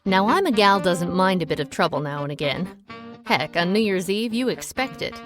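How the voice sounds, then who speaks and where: A woman's voice: on the low side, lively intonation, moderate pace, music in the background, natural voice. A woman playing a plucky, folksy amateur sleuth with wry, old-time cowgirl phrasing in a comic audio drama. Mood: wry narrating. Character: plucky, folksy female amateur sleuth narrating her adventures. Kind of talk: comic audio drama, first-person narration.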